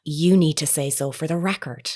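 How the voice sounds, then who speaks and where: A woman reporter with a slightly low voice. She is earnest and persistent, speaking in a formal, measured, insistent way, as in a natural audio drama. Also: a little mellow. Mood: firm.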